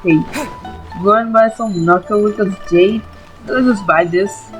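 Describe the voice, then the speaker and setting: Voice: medium pitch, measured pace, rough recording. Let's-play commentator, casual and chatty, mid-range voice, informal filler-heavy phrasing, faint game music. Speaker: gaming YouTuber.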